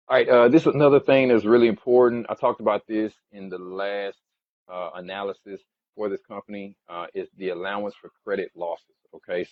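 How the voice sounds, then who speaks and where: A man giving informal explanatory commentary as a financial analyst, haltingly putting things in plain everyday terms, on a dull lo-fi recording. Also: low-pitched. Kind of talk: informal explanatory commentary over earnings slides.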